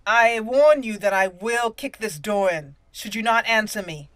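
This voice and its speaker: A woman's voice: medium pitch, a little slow, fairly bright tone, dry recording. A woman doing casual, amused let's play commentary, slipping into in-character roleplay and talking to herself as she plays. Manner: playful, amused, talks to self. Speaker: gaming channel host.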